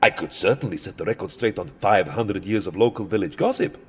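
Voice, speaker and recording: A man's voice: lowish voice, lively intonation, brisk, noisy background, lightly acted. A man playing a centuries-old vampire count in a comedic audio drama, fussy, sardonic and theatrically wordy. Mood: wry, chatty. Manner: theatrical, wry, fussily verbose.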